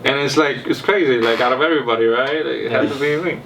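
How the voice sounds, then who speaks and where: A man's voice: mid-range voice, fast talker, over a noisy crowd. A young man from Cuba casually telling a road-trip story, rambling and repeating words as he acts out what people said. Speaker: car vlog host. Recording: distant mic with faint noise.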